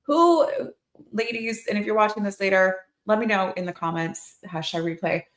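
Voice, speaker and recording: A woman's voice: medium pitch, normal speed. A woman in her forties with an American accent, chatting informally and expressively to livestream viewers as a nurse practitioner hosting a Q&A. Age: 40s. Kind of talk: casual livestream Q&A chat. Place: indoor clinic room under construction. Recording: echoey, roomy livestream sound.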